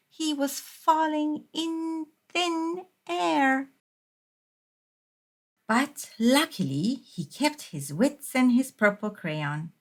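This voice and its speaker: A woman reading a children's picture book aloud as a storyteller, in a measured, expressive way. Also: on the high side. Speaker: storybook reader.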